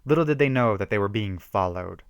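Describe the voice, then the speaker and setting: A man's voice: lowish voice, lively intonation, fairly quick, no room sound, clean studio sound. A man giving an amateur dramatic reading of a horror story, with theatrical emphasis.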